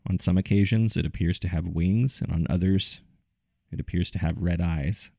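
A man's voice: low-pitched, moderate pace, creaky, a little mellow, no echo, clean studio sound. A man hosting a podcast, reading scripted narration straight to the listener. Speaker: podcast producer and host.

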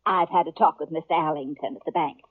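A woman's voice: deep voice, fast talker, slightly rough. An actress playing a bossy, motherly middle-aged aunt in a Western radio drama, with an American accent and folksy, insistent chatter. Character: bossy, fussing, motherly aunt in the Old West. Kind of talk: 1950s radio drama acting. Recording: muffled 1950s radio recording.

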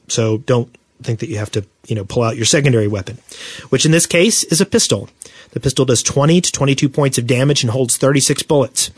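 A man co-hosting a casual gaming podcast, explaining things in a halting, self-correcting way. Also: mid-range voice, dry recording. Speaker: podcast co-host.

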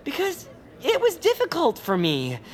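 A woman's voice: sits high, huge pitch swings, a little slow, exaggerated voice. A woman playing an earnest, self-doubting amateur theatre director in a comedic audio drama, with a hesitant, conciliatory manner. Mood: apologetic, earnest.

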